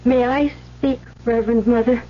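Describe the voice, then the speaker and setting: An actress playing a timid, earnest young nun, polite and halting, in an old-time radio drama. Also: female voice, on the high side, fairly even intonation, lightly acted. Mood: anxious, deferential. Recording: muffled, noisy old radio recording.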